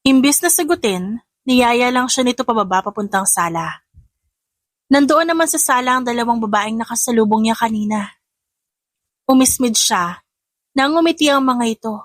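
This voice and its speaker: A woman's voice: fairly high pitch, a bit bright, no echo. A woman narrator reading a scripted dramatic story.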